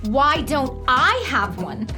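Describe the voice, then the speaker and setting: A woman's voice: sits high, big pitch swings, takes it slowly, music in the background, exaggerated voice. A woman playing a grumpy, vain young alien girl in a children's audio drama, whiny and boastful. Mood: indignant, sulky.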